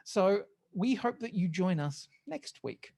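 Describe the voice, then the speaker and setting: A podcast host with a middling pitch reviews casually among a panel. The delivery is halting and wry, peppered with swearing. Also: lively intonation, dark tone.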